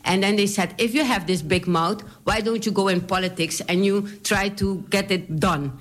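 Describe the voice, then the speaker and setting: A woman's voice: medium pitch, normal speed. A woman with a Surinamese accent, a politician telling her story in an interview, chatty and laughing often. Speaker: politician guest.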